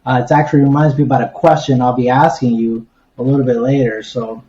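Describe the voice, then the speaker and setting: A man hosts a casual interview in a mellow, dark-toned voice. His audio sounds muffled and lo-fi, with plenty of room echo around his words. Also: medium pitch, fairly even intonation, fast. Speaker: podcast host, interviewer. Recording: roomy, dull lo-fi audio.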